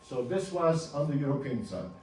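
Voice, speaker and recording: A man in his seventies, a native Ladino speaker from Turkey, giving an informal talk over slides, halting and restarting with small chuckles. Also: fairly high pitch, big pitch swings, darkish tone. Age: 70s. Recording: roomy, distant, faint hum.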